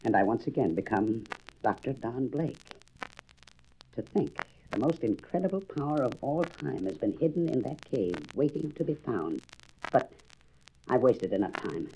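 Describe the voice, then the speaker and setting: An actor playing an earnest, mild-mannered doctor with a secret, in a dramatic comic book monologue. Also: male voice, medium pitch, big pitch swings, moderate pace, warm tone, low-quality recording. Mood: wondering, urgent. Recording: old record with faint noise.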